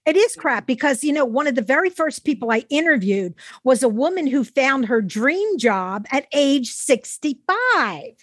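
An older woman with a high voice hosts a podcast conversation. She talks casually and expressively, with a dry sound free of room echo. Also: sing-song, normal speed, few pauses. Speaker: podcast host. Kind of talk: casual interview chat.

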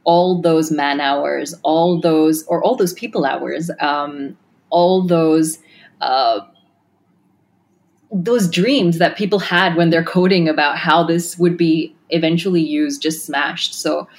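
A woman's voice: low-pitched, fairly even intonation, slow. A woman who grew up in Kenya and Australia, founder of a tech design firm, answering interview questions thoughtfully with many fillers and restarts.

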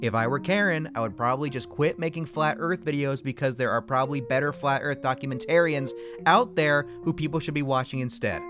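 A man's voice: medium pitch, brisk, lightly acted. Guy playing bitter sarcastic man, sweary and defensive, audio comedy, some music under it. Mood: smug, mocking. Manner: sarcastic, griping, profane. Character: bitter, foul-mouthed flat-earther video maker, jealous and defensive. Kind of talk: naturalistic audio fiction dialogue.

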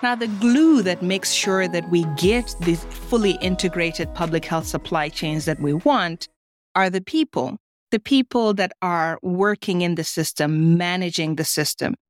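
A woman's voice: on the low side, measured pace, faint music behind. A woman hosting a podcast, delivering scripted introductions and interview questions. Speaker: podcast host.

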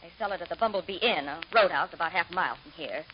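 An actress playing an evasive housewife who speaks defensively, in 1940s radio acting style on an old radio broadcast. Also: female voice, lowish voice, quick pace, highs cut off, a little hiss or hum, close to an everyday voice. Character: evasive housewife, a witness who wants no trouble.

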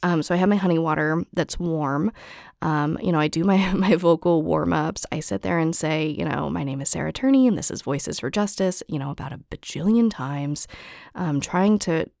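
A young woman hosting her podcast, talking casually to listeners with a hoarse, raspy voice and many ums. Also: low-pitched, normal speed, no room sound, clean studio sound. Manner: chatty, frequent ums and likes, laughs softly. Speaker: podcast host. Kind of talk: after-show update to listeners.

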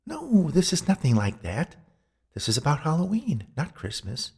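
Storybook spirit: male reader as an older woman ghost, high, airy and gentle, earnestly scolding. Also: wildly swooping pitch, normal speed, husky, exaggerated voice. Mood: flummoxed. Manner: solemn, admonishing. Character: older female ghost, soothing, earnest, exasperated.

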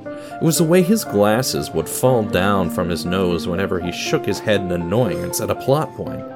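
A man's voice: low-pitched, expressive, normal speed, over music. A man reading scripted narration for an audio drama, telling a story in a dramatic style.

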